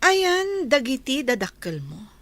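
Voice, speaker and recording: A woman's voice: high-pitched, big pitch swings, slow pace, no echo, full character voice. A woman playing a haughty, bitter, imperious lady of a mansion in an Ilocano radio drama. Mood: haughty, scornful. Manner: imperious, scornful.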